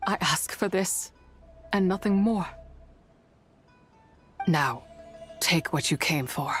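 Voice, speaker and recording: A woman playing a solemn, once-wrathful immortal spirit in a game, speaking formally and with weary measure. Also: low voice. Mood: grave. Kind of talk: scripted game voice acting.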